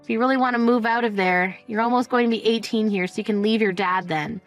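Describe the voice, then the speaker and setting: A woman's voice: a little high, steady tone, fast talker, soft background music, lightly acted. A woman playing a cold, sarcastic mother who tells her story in a smug, dismissive way. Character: cold, sarcastic middle-aged mother, smugly unsympathetic. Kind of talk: dramatized first-person story narration.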